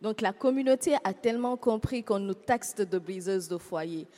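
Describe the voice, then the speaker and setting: Woman activist, earnest advocacy talk, mid pitch, hall reverb. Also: moderate pace, mellow. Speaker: panelist, activist. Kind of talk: speaking at a panel.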